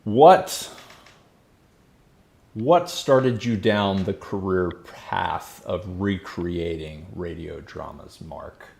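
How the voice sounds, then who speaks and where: A man's voice: sits low, extremely slow, gravelly, a little mellow. A man hosting a podcast, upbeat and friendly, asking his guests questions in casual chat. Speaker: podcast host.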